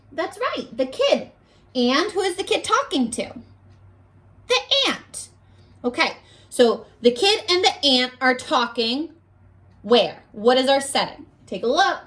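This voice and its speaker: Very high, animated woman teaching young children. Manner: simple guiding questions, encouraging, addressing young children. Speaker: elementary school teacher. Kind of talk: children's read-aloud lesson, instructional.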